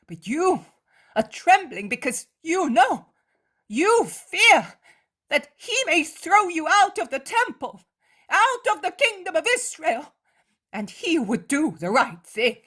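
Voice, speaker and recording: A woman voicing a guilt-ridden, torn male disciple who pleads in high-strung outbursts. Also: a bit bright, full character voice.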